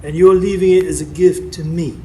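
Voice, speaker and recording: A man's voice: fairly high pitch, fairly even intonation, brisk, mellow, natural voice, low-quality recording. A man playing a wise, stern old folk healer in a live radio drama, terse and commanding as he instructs. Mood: stern, probing. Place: stage before a live studio audience. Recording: live stage recording with background noise.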